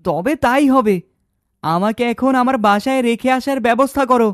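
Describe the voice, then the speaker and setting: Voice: medium pitch, moderate pace, noisy background, lightly acted. An actor voicing a bossy, precocious little village boy in a cartoon, self-assured and threatening. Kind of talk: cartoon dialogue acting.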